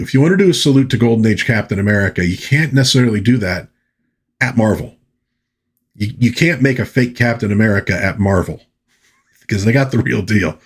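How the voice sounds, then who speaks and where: A man hosting a casual review, talking in a halting, musing way with pauses and repeated phrases. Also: on the low side, breathy edge. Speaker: host of a comic book review series.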